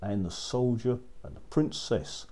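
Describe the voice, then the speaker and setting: A man narrating a children's story as a storyteller, with expressive, dramatic delivery. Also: medium pitch, measured pace.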